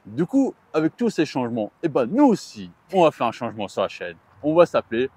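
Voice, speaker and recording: A man's voice: mid-range voice, wildly swooping pitch, brisk, dark tone, breathy edge, no room sound. A man co-hosting a chatty vlog, talking in a playful, joking way. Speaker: vlog co-host. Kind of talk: chatty theme park news vlog.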